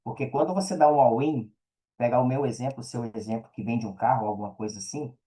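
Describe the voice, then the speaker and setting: A Brazilian man with a mellow, mid-pitched voice talks as a guest in a casual interview. His speech is slangy, and he keeps checking in with the listener. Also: fast. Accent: Brazilian Portuguese. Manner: slangy, peppers talk with check-in tags. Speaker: podcast guest, affiliate marketer.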